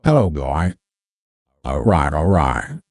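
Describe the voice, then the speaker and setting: A man voicing an easygoing movie narrator through a pitched-down voice changer, casually testing the microphone. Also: expressive, takes it slowly, darkish tone, slightly rough, no room sound, clean studio sound, full character voice. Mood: relaxed, testing. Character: slow, very deep male movie narrator.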